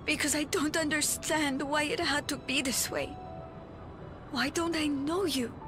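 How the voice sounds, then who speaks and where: A woman's voice: high voice, fairly even intonation, unhurried, music in the background. A woman playing a hurt, abandoned child in a video game cutscene, crying and pleading. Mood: tearful, pleading.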